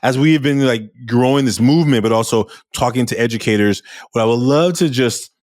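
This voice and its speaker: A man hosting an interview podcast, talking in a loud, animated way with frequent restarts. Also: medium pitch, fairly quick, no room sound, clean studio sound. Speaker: podcast host. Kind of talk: casual interview chat.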